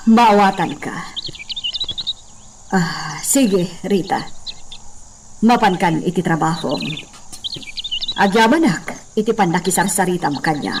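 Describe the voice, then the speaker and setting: A woman with an Ilocano accent playing a troubled, gently probing hacienda mistress in a radio drama, measured and confiding. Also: on the low side. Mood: probing, gentle. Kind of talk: slow-paced radio drama acting. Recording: distant mic, clearly noisy background.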